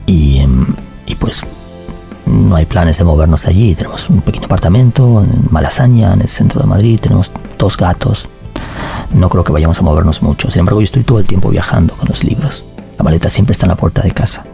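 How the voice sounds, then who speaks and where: Low gravelly-voiced man, measured and thoughtful. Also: warm tone, faint music behind. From Argentina. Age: 40s. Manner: measured, thoughtful, with many fillers. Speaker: novelist guest. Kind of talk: reflective interview answers.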